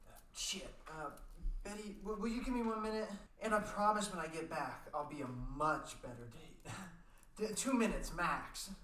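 A man's voice: high-pitched, animated, normal speed, pushed and bright, slight background noise. A man as an earnest teenage boy, distant and roomy. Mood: flustered. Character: earnest, pushy teenage boy, musical dreamer. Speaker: amateur radio play actor. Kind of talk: amateur radio play reading.

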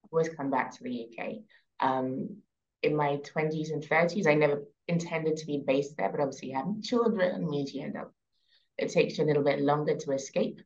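A woman with a London accent, in her thirties, chatting as a podcast guest in a relaxed way with many ums and soft trailing endings. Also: low-pitched, fairly quick, lo-fi sound. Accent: British, London. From England. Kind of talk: casual podcast interview.

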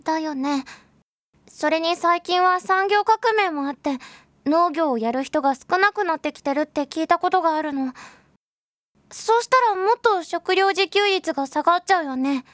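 Audio drama little sister: extremely high voice, childlike, sincere and gentle, adores her big brother, dry studio sound. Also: normal speed, no echo. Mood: earnest, thoughtful. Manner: eager, childlike, gentle, talking to an unheard brother. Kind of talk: Japanese audio drama acting.

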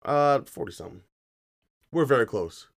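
Tired, raspy-voiced male podcast host. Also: mid-range voice, lively intonation, normal speed. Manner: laid-back, joking slang. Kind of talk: casual chat.

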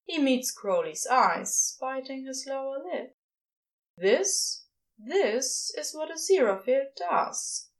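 A woman reading fiction aloud as expressive scripted narration, with dramatic pauses. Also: sits high. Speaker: podfic reader.

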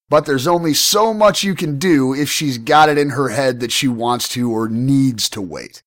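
A man's voice: mid-range voice, no room sound, clean studio sound. An American man hosting an advice podcast, reading out listener mail and answering bluntly with casual profanity, while sick from pneumonia. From United States. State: ill, recovering from pneumonia. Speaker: podcast host.

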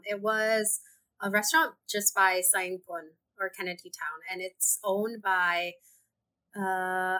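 A woman's voice: mid-range voice, low-quality recording. A woman from Hong Kong whose first language is Cantonese, a podcast guest telling stories in casual chat and laughing often. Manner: animated storytelling, laughs often. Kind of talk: casual conversation.

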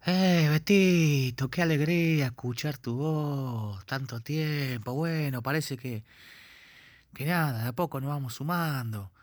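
A man's voice: a little high, a little slow, dry recording, natural voice. A man with an Argentine accent playing an eager, sentimental middle-aged former classmate. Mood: gushing.

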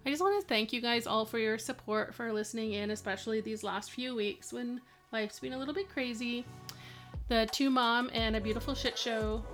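A woman's voice: on the high side, moderate pace, soft background music. A woman co-hosting a parenting podcast from home, giving advice in a rambling, casual way. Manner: rambling, warm advice with fillers. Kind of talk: casual chat, giving tips.

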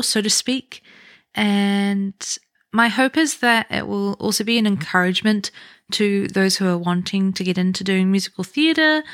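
A young woman with a New Zealand accent hosts a solo podcast, telling her story in a chatty, unhurried way. Her voice sits close and dry, with no room sound around it. She pokes a little fun at herself as she goes. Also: medium pitch, a bit bright, no room sound. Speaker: podcast host. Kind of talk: casual solo podcast talk.

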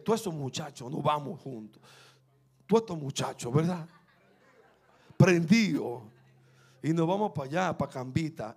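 A man's voice: on the high side, slightly rough. A man preaching a sermon, fervent and repetitive, building to emphatic peaks. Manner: fervent, rising to emphatic peaks, repeats phrases.

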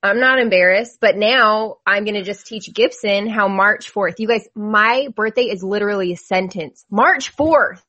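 A woman's voice: mid-range voice, normal speed, few pauses, bright, forceful tone, lo-fi sound. A woman in her twenties chatting casually as a co-host, emphatic and insistent in how she talks. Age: 20s. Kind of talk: casual chat.

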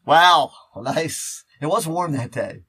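A man chatting casually as a podcast host, in a halting, rambling way full of fillers. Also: fairly high pitch, expressive, fairly bright tone, slightly rough. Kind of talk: casual chat.

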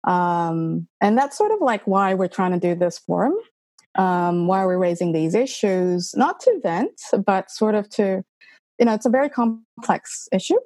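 A woman's voice: mid-range voice, lively intonation, unhurried, dark tone, no room sound. Woman moderating a discussion, dry sound. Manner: thoughtful, hedging, with frequent fillers. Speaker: forum co-host, moderator. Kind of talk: hosting a discussion forum.

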